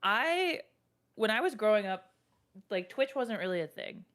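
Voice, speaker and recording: Loud playful young woman on a call. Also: medium pitch, sing-song, measured pace. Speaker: stream guest. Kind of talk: casual chat over voice call. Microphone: voice call mic.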